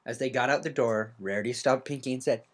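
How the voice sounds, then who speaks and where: A woman's voice: rumbling low, fast. A woman reading a story aloud as an amateur, in semi-dramatic scripted narration. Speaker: amateur fan fiction reader.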